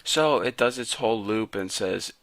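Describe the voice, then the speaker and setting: A raspy-voiced young man casually teaching a programming lesson to viewers. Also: fairly low pitch, normal speed, a bit bright, dry recording. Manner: casual, chatty, talks to viewers as guys. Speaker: programming tutor. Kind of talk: casual screen-recorded coding tutorial.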